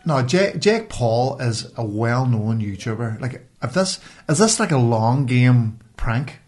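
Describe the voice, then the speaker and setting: A Northern Irish man with a medium-pitched, everyday speaking voice. He chats informally as a podcast host, using plenty of local turns of phrase. The odd swear word slips into his conversation. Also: lively intonation, slow, a little mellow. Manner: colloquial, sweary. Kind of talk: casual chat.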